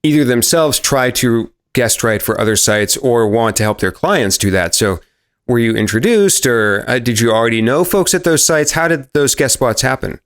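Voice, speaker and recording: A man hosting a podcast, upbeat and friendly as he puts questions to his guest. His voice sits in an ordinary middle pitch. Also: animated, normal speed, clear, resonant tone. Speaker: podcast host. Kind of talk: podcast interview.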